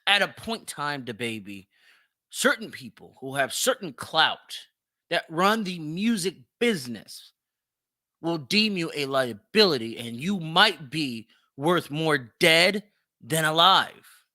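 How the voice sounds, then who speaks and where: A man's voice: a little high, big pitch swings, takes it slowly, sharp tone, no room sound, studio quality. A man hosting an opinionated solo commentary show, speaking bluntly and emphatically, swearing freely and repeating phrases for stress. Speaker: podcast host.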